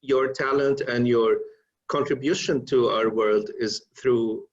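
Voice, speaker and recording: A man hosts an interview at a mid pitch, asking questions in a warm, complimentary way. His voice comes through dull, lo-fi video call audio. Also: steady tone, slow. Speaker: interview host.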